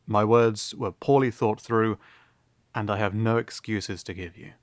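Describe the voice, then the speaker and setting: A man voicing a halting, awkward young prince. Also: on the low side, steady tone, no room sound, lightly acted. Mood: earnest. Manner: stammering, halting, formal apologies. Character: earnest young male prince, awkward and formal. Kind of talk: fantasy audio drama acting.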